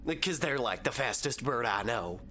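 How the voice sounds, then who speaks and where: A woman's voice: rumbling low, expressive, moderate pace, fairly bright tone, soft background music. A woman playing a playful, cheeky young female soldier in an audio drama. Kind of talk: scripted audio drama acting.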